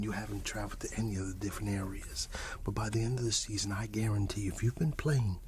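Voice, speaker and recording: A man giving an encouraging, rambling ASMR monologue that slips between a breathy whisper and soft speech, often repeating himself. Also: lowish voice, slight background noise. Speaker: ASMR channel host.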